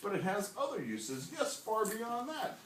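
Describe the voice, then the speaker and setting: A man voicing an old, reclusive storybook creature who tells a wistful tale with drawn-out emphasis. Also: high voice, very animated, a bit bright, exaggerated voice. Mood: smug, dismissive. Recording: echoey noisy classroom, distant mic.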